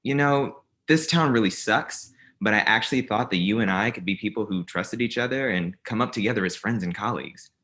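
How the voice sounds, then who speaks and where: An actor at a comedy table read playing an aggrieved, smugly ambitious office rival, earnest and combative. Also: male voice, fairly low pitch, fairly quick, few pauses, sharp tone.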